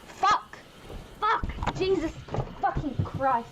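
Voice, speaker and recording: A woman's voice: very high-pitched, lively intonation, slow pace, heavy background noise, heavily acted. A woman acting as an exasperated, seasoned cane toad hunter in an audio drama, heated, sarcastic and sweary. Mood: exasperated, furious.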